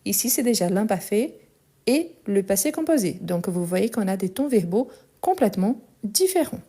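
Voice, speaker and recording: A woman teaching French in a live online lesson, speaking slowly and clearly and repeating phrases for learners. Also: mid-range voice, big pitch swings, warm tone. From France and Brazil.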